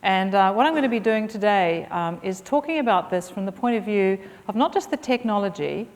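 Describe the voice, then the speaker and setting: A woman's voice: medium pitch, lively intonation, fairly quick. Woman giving a keynote in a reverberant hall. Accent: mixed British and Australian. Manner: fluent with frequent ums and uhs. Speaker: keynote speaker. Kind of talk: conference keynote speech. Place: conference hall.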